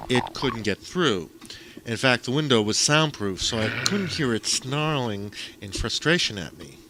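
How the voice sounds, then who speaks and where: A man's voice: mid-range voice, background noise. A man reading scripted, surreal first-person stories as an audiobook narrator, on a home recording.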